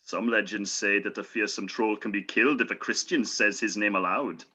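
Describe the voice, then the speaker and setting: A man's voice: on the low side, steady tone, normal speed, bright, forceful tone, breathy edge. A man playing an earnest, brave medieval Norseman in an amateur radio play, speaking in mock-archaic, declamatory phrases. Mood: confident.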